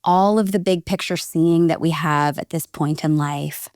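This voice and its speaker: A woman's voice: deep voice, fairly even intonation, measured pace, darkish tone, no room sound, studio quality. A woman in her forties, a guest leadership coach, speaking reflectively in a casual interview. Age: 40s.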